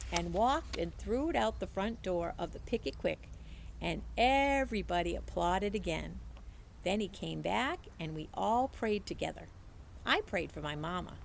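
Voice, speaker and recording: A woman reading a children's story aloud in an expressive, animated storytelling manner. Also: lowish voice, vocal fry, slight background noise. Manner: animated storytelling, acts out dialogue. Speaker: teacher reading a book aloud. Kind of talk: expressive children's audiobook-style read-aloud.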